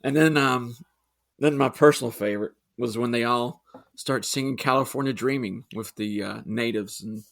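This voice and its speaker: Male co-host, raspy bright voice, dry jokester. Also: medium pitch. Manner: wry, quick quips, laughs along. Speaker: podcast co-host. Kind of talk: casual movie chat.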